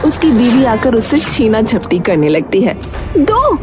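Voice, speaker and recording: A woman's voice: on the high side, very animated, moderate pace. A woman narrating a cartoon moral tale as a storyteller, in a scripted style. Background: music.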